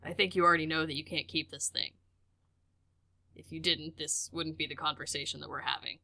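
A woman playing a male park director in an audio drama, measured, compassionate and gently firm. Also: sits low, steady tone, gravelly, exaggerated voice. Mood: grave, compassionate. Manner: deliberate, sighing, gently firm. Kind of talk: scripted audio drama dialogue.

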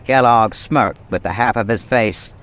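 An actor playing a dramatic narrator of a detective radio mystery, reading the scripted prose solemnly. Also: male voice, lowish voice, a little slow, a bit bright, a little hiss or hum. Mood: grave.

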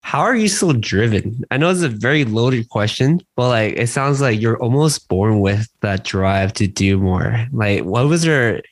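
A man hosting a podcast, asking questions in a relaxed, chatty way with lots of "like" and "man". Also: mid-range voice, unhurried, few pauses, no echo. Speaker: podcast host. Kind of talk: casual podcast interview.

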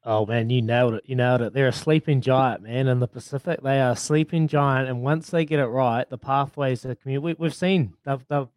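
A man's voice: mid-range voice, fairly even intonation, a little fast, hardly any pauses, a little mellow, smooth, no room sound. Brief: male radio co-host, emphatic and keen, dry studio sound. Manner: enthusiastic, emphatic. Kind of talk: radio interview.

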